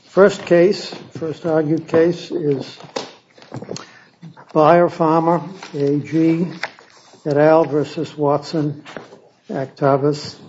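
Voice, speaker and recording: Presiding judge, male, slightly higher voice, very slow deliberate docket call; dull courtroom audio. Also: dark tone, a little hiss or hum. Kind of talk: formal court announcement.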